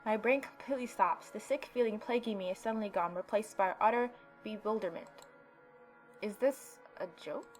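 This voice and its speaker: A woman let's player narrating a visual novel and adding playful, expressive casual commentary. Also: mid-range voice, normal speed, soft background music, rough recording. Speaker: let's player reading and reacting.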